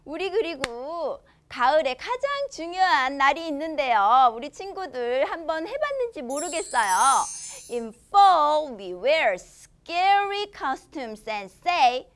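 A young woman teaching a children's language lesson, expressive and enunciating slowly for young learners. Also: sits high, a little hiss or hum. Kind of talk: children's language lesson, switching between Korean and English.

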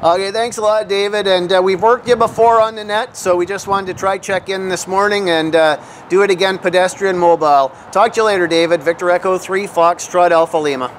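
A man's voice: high-pitched, steady tone, brisk, few pauses. A man, talking casually outdoors as a radio hobbyist and vlogger. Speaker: vlogger and amateur radio operator. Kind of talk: casual outdoor vlog and radio contact talk. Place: outdoors in a grassy backyard. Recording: outdoor camera audio with clear background noise.

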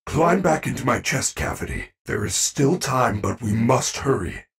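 Kindly robot bear, husky and gravelly. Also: male voice, mid-range voice, wildly swooping pitch, moderate pace, distant mic, full character voice. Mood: encouraging. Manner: earnest, formal, precise speech without contractions. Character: kind, protective male animatronic bear entertainer robot. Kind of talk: video game voice acting.

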